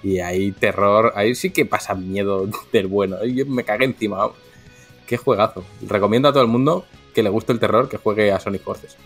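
A man on a gaming podcast riffs with dry sarcasm. His voice sits somewhat low. Light music runs behind him. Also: normal speed, breathy edge. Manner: sarcastic, jokey. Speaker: podcast co-host. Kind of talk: casual chat.

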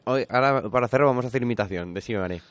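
Smooth-voiced man hosting radio. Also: medium pitch, no room sound. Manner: brisk, fills gaps with "eh" and self-corrections. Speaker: radio sports show host. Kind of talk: casual radio sign-off chat.

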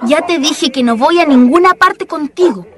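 A woman's voice: high voice, moderate pace, music in the background, close to an everyday voice. A woman acting as a feisty, exasperated Chilean schoolgirl in a radio drama, scolding and sassy. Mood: annoyed, indignant.

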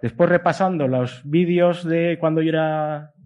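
A man's voice: fairly high pitch, unhurried, darkish tone. A middle-aged man from Spain with a Castilian Spanish accent, giving a semi-improvised academic talk in a discursive, hesitant way, often adding checking tags. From Spain (Basque Country and Galicia). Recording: dull lo-fi sound.

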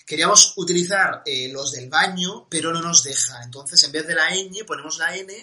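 A man's voice: on the high side, normal speed, pushed and bright. A young man co-hosting a podcast, chatty and casual, often correcting himself mid-sentence. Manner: chatty, self-correcting, fond of "¿vale?". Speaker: podcast co-host.

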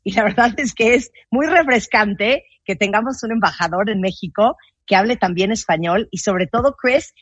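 Mexican woman host, enthusiastic, husky voice, dull dry recording. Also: mid-range voice, normal speed, dry recording. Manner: enthusiastic, praising. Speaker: interviewer, host. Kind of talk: interview.

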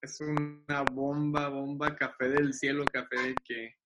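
A man, chatting as a guest on a video call, enthusiastic and quick to laugh, often repeating his words. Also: fairly high pitch, level tone. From Mexico. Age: 20s. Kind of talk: casual video-call chat. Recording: loud background hiss on a video call.

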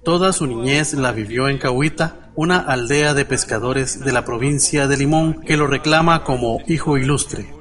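A man presenting on the radio, reading informative scripted narration in a measured, formal way. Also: medium pitch, a bit bright. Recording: music under the voice. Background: background music.

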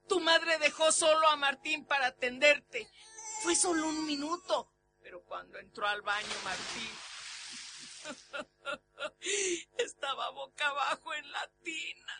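Old Mexican grandmother, high voice, anguished, melodramatic radio horror, faint music behind. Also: lively intonation, takes it slowly, long pauses, heavily acted. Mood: tearful, anguished.

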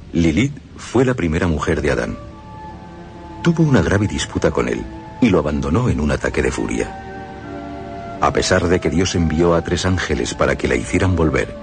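Male reader, Spanish accent; deep, lively intonation, solemn and declamatory. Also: gravelly. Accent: Spain Spanish. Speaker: reader of quoted texts. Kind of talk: dramatic radio reading. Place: radio studio.

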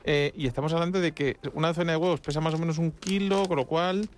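A man's voice: on the high side, fairly even intonation, fast, slight background noise. A Spanish man hosting a food radio show, chatting casually and joking, with frequent restarts. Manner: joking, rambling with many restarts. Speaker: radio host and food critic.

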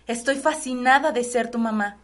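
High-voiced melodramatic Mexican mother played by an actress. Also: moderate pace, close to an everyday voice. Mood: tender, proud. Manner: melodramatic, tender, sighing. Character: doting, devout, grief-stricken middle-aged mother, lady of the house. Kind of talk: radio soap opera acting.

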